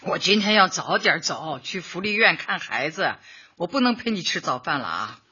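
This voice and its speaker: A woman's voice: on the low side, sing-song, moderate pace, sharp tone, slightly rough, highs cut off, full character voice. An actress playing a kindly elderly woman community party secretary in a Chinese radio drama, patient and coaxing. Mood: caring, busy.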